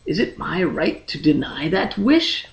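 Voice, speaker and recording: A man's voice: on the high side, very animated, unhurried, highs cut off, pitched below the performer's own voice. A man voicing a brooding, melancholy young emperor in a fantasy audiobook, grave, formal and fatalistic. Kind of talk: dramatic fantasy audiobook character reading.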